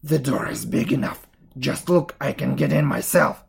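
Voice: mid-range voice, normal speed, creaky, breathy edge, exaggerated voice. A storyteller playing a wicked, very old witch in a fairy tale, with a commanding, mocking manner. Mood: scolding, bossy. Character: wicked old witch, feigning kindness, cruel, bossy.

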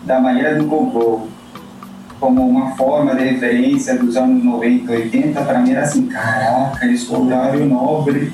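A man's voice: mid-range voice, steady tone, moderate pace, warm tone, heavy reverb, far from the mic, a little hiss or hum, poor audio. A Colombian man, a guest speaking thoughtfully in a casual chat, searching for words. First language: Spanish.

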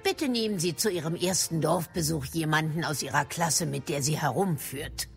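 An actress playing a shrewd middle-aged female professor in a German audio drama, speaking formally and crisply with a mildly probing manner. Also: sits low, moderate pace, faint music behind. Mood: brisk, instructive.

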